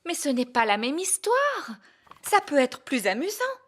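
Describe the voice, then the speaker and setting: A cheerful, gentle mother pig in a children's cartoon. Her high voice moves in lively rises and falls over clear background music. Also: unhurried. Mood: pleasant. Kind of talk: French children's cartoon dubbing.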